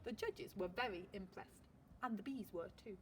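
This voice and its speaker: A woman teacher reading a picture book aloud, expressive storytelling with dramatic pauses. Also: medium pitch, slight background noise. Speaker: primary school teacher.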